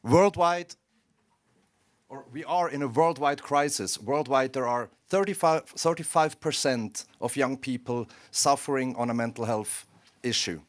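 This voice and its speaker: A Swiss man who is not a native English speaker, presenting his company at a conference with self-deprecating, ironic humor. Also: medium pitch. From Switzerland. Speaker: startup CEO presenting. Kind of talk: conference pitch talk. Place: conference stage.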